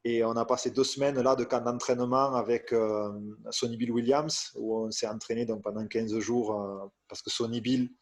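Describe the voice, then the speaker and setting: A man in his thirties from France tells his story as an interview guest, his delivery halting and full of fillers. His voice sits at an ordinary middle pitch. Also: steady tone. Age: 30s. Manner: hesitant, many fillers and restarts. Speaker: strength coach, podcast guest.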